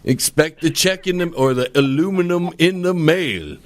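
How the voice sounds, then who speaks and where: A man's voice: on the high side, moderate pace. A male podcast co-host who trades jokes casually and sometimes stumbles over his words.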